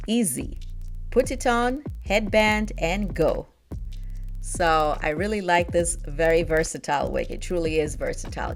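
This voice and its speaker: A young woman who grew up in Nigeria and the United States, chatting upbeat and laughing often as she reviews a product for her vlog. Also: on the low side. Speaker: beauty vlogger.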